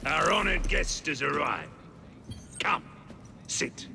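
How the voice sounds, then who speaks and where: Flamboyant crime lord, gravelly, swooping intonation, grandiose, game cutscene, noisy ambience. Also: male voice, on the high side, big pitch swings, slow, full character voice. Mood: grandly amused. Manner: grandiose, theatrical, savouring words. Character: theatrical middle-aged male Victorian gang boss, charming, hedonistic. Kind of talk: video game cutscene acting.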